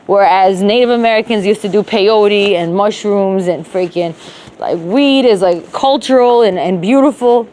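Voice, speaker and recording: A woman's voice: medium pitch, moderate pace, darkish tone, slight background noise. A woman with a rural Levantine Arabic accent in her Arabic, talking to herself in an emotional, profane, rambling monologue that jumps between Arabic and English. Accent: rural Levantine Arabic when speaking Arabic.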